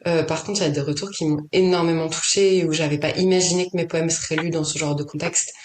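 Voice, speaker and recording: Woman guest, lowish voice, searching for words with many restarts; roomy echo. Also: steady tone, quick pace, slight background noise. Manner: thinks aloud, hesitant, many restarts and fillers. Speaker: poet and author, podcast guest. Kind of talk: casual conversation.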